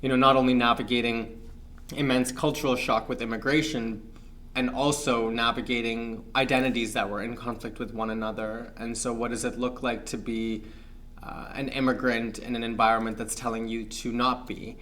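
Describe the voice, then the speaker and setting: A young man from Pakistan, a guest on an interview show, talking in a reflective, measured way with frequent fillers. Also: mid-range voice, level tone, a bit bright, a little hiss or hum. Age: young adult. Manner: thoughtful, measured, many fillers.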